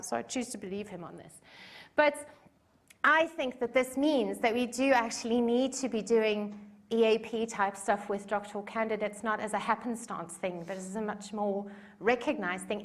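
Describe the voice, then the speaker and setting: A woman in her forties with a South African accent gives a conference talk in a chatty, self-deprecating way, with plenty of fillers. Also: fairly high pitch. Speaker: conference speaker. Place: conference room.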